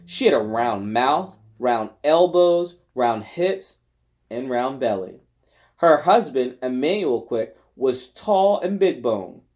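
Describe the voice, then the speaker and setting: A man narrating a novel aloud in an expressive storytelling style with deliberate phrasing. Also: mid-range voice. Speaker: volunteer reader of a novel. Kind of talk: scripted audiobook narration.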